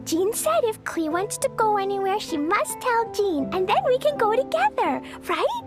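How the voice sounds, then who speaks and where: A woman's voice: very high voice, very animated, moderate pace. A woman voicing an exuberant, guileless little girl in an anime-style video game, with childlike eagerness. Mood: eager, hopeful. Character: exuberant little girl who loves explosions. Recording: studio voice under loud game music.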